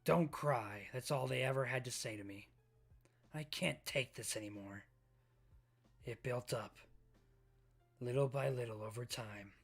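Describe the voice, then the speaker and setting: A man voicing a brooding young male manga villain, narrating his traumatic childhood in a hushed, haunted way. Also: mid-range voice, measured pace, gravelly, long pauses, husky, soft background music. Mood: bitter.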